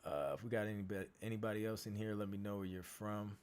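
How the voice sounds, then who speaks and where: A hoarse man with a fairly low voice hosts a relaxed livestream from his home studio. He keeps clearing his throat, and his talk is easygoing and slangy as he greets viewers and talks about his music work. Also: fast talker. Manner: laid-back, slangy, reads out viewer comments. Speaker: music producer hosting a live Q&A. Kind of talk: casual livestream chat.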